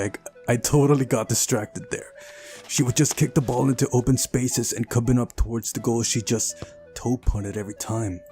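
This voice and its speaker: A man's voice: on the low side, mellow. A man reading a visual novel aloud during a playthrough, narrating the story and adding casual asides of his own.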